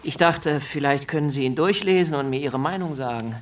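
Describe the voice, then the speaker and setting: An actor playing an earnest, stubborn and unassuming young politician in a radio drama, polite, precise and self-assured. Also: male voice, on the high side, a little fast, natural voice. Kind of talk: German radio drama acting.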